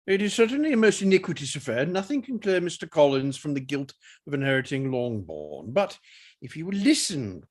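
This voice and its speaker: A man playing a dry, teasing older father and husband in a period drama reading, deliberate and ironic, with a husky voice. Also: fairly high pitch, very animated, darkish tone. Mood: wry.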